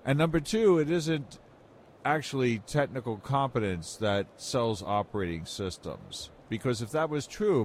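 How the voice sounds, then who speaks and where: A man's voice: fairly low pitch, slight background noise. A mature man giving rambling, explanatory anecdotes as an interview guest in a conversational style. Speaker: interview guest, software industry veteran.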